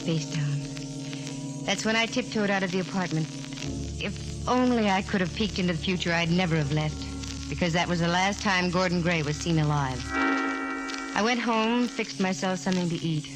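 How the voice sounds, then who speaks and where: A woman's voice: on the low side, moderate pace, close to an everyday voice, low-quality recording. An American actress playing a wisecracking yet tender female private eye in a 1940s radio drama, both acting and narrating. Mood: reflective. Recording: old 1940s radio recording, music behind.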